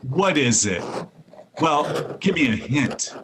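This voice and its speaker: A man's voice: a little high, sing-song, takes it slowly, noisy background. A man playing a breezy, teasing, cheerful husband in 1940s radio comedy style.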